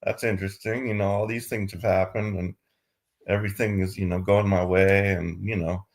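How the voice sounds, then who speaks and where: A man you'd hear unmuting at an online meditation class, low gravelly voice, tentatively feeling his way through his thoughts. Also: level tone. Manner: tentative, halting, groping for words. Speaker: student taking part in a spiritual Q&A. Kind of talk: casual chat.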